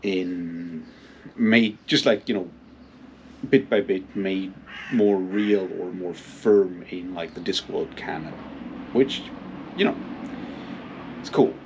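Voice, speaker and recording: A man giving a casual, unscripted book commentary in a halting, rambling way, with plenty of filler phrases. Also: low-pitched, gravelly, background noise. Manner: halting, hesitant, rambling delivery with frequent hedging filler phrases. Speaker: book reviewer and readalong host.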